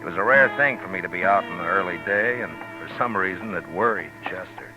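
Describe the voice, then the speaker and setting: Guy acting a weary old-west marshal, really low american voice, steady and terse, old radio western with music. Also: fairly quick, dull audio, lightly acted. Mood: matter-of-fact. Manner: laconic, grave. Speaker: lead actor and narrator. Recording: vintage radio recording with music.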